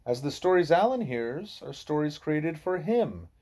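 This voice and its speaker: A man voicing a wise, patient gorilla teacher who lectures in a deliberate, formal way. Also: fairly high pitch, big pitch swings, dark tone, voice pushed up. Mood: solemn.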